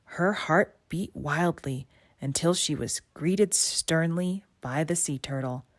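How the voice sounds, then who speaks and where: A woman reads a storybook aloud with expression. Her voice is low and she takes her time over each phrase. The recording is dry, with no room sound. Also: slow, no echo. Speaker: storybook reader. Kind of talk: expressive children's read-aloud narration.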